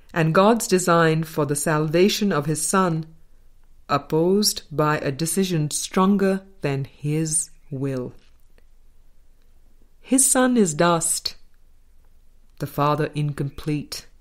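A woman narrating a spiritual book aloud in a measured, deliberate way, pausing between phrases. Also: low-pitched. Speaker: audiobook narrator.

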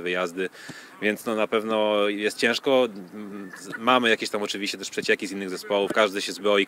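A man in his thirties giving measured, hesitant answers in a sports interview, speaking into a handheld microphone on a pitch. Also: a bit bright. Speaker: football club official being interviewed. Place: outdoors on a football training pitch. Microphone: handheld reporter microphone. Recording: outdoor field noise.

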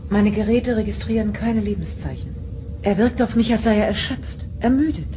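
An actress playing a concerned, cautious ship's doctor in an audio drama, speaking seriously and carefully. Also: female voice, medium pitch, normal speed, a little hiss or hum, close to an everyday voice. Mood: grave, concerned.

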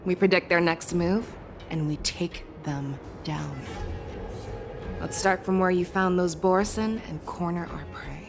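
An actress voicing a calm, commanding female general who lays out strategy in a measured, lecturing way for a game cutscene. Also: fairly low pitch, vocal fry, over music. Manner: measured, lecturing, hushed at times. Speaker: military general.

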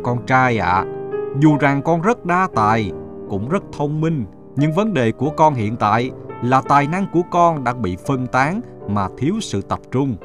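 A man voicing a calm, wise older father who advises in a measured, gently instructive way in a cartoon. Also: medium pitch, natural voice. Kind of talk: Vietnamese moral-story cartoon dubbing.

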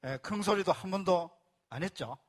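A man with a voice pitched a little above the usual male range presents at a live seminar. He explains patiently step by step, starting phrases with "자" and repeating key points for the room. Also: expressive, moderate pace. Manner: speaks to a live audience and asks for applause. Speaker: business presenter explaining a membership plan.

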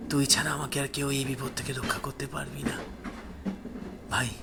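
A man voicing the sorrowful ghost of a poor young man, pleading slowly in a hushed, tearful, choked voice. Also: mid-range voice, heavy background noise, close to an everyday voice. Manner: slow, hushed, imploring.

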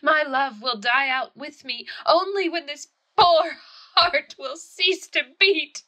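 A woman's voice: high-pitched, takes it slowly, pushed and bright, full character voice. A woman playing a young grieving widow in an audio play, haughty and self-dramatizing, declaiming with tragic, imperious airs. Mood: wounded, reproachful. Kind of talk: theatrical audio play acting.

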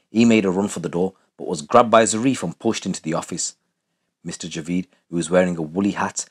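Deep, husky male crime narrator. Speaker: narrator of a true crime channel. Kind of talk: scripted true crime narration.

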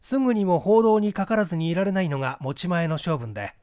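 A man reading literary fiction aloud as an audiobook narrator, in an expressive, dramatic storytelling manner. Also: high voice, fast, clear, resonant tone, dry recording, clean studio sound.

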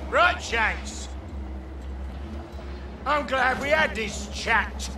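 A man's voice: very high voice, extremely slow, heavily acted. A man playing a sadistic British crime boss in a video game, taunting, theatrical and menacing. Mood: mock friendly. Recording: distant voice, clear background noise.

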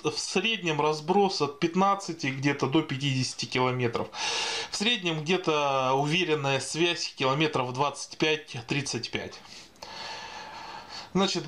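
A man giving an informal, improvised explainer voice-over in a hesitant, halting manner. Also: fairly high pitch, fairly bright tone. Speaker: video host explaining radio equipment.

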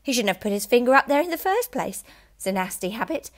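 A woman reads aloud as a bossy, sharp-tongued middle-aged wife in a storybook, scolding and lecturing in a raised, clipped voice. She sounds dismissive and quick to reprimand. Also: on the high side, sing-song, pitched above the performer's own voice.

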